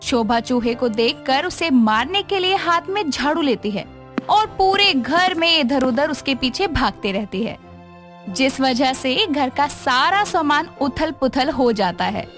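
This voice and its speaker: A woman narrates a scripted tale. Her voice is very high and her pace slow, with light music playing underneath. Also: very animated, fairly bright tone.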